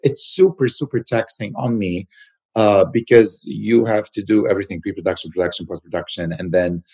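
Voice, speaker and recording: A man's voice: fairly low pitch, normal speed, warm tone, husky, rough recording. A man, an actor and producer, answering interview questions in a loose, searching way, repeating words and adding many fillers.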